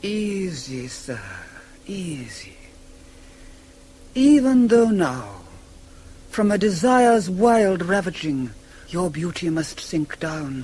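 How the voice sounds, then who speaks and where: An actor playing a cold, stern deity in a classical radio tragedy, speaking in a solemn, measured, incantatory way. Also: male voice, on the high side. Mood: solemn consoling. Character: cold, stern deity, aloof from human grief. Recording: hissy 1980s cassette of radio play.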